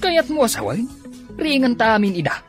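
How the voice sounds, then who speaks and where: A man's voice: very high-pitched, sing-song, normal speed, music in the background. A man acting a merry drinking buddy who eggs his friend on, drawling and exclaiming, in an Ilocano-accented radio comedy drama. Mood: egging on. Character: merry, egging-on drinking buddy of the rowdy neighbour.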